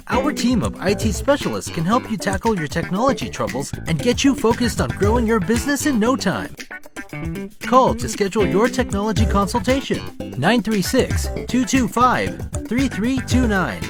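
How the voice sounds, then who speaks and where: An announcer reading a scripted ad in a bright, salesy way. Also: mid-range voice, very animated, over music. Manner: bright, salesy, reads number slowly. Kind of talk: scripted radio ad.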